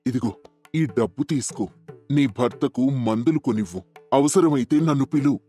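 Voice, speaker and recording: A man's voice: medium pitch, moderate pace, mellow, soft background music, natural voice. A man playing a kind, hardworking village farmer in a storybook tale, earnest and reassuring. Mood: caring.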